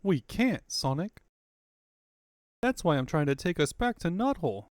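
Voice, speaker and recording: A man's voice: a little high, very animated, unhurried, no echo, studio quality. A man voicing an earnest, resolute young female freedom fighter in a comic book fandub.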